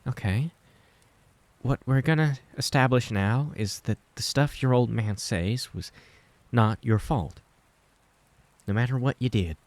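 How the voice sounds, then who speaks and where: A man voicing a gentle, worried middle-aged mother who speaks softly and carefully to reassure her son. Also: fairly low pitch, moderate pace, no room sound, pitched above the performer's own voice, close to an everyday voice. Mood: reassuring.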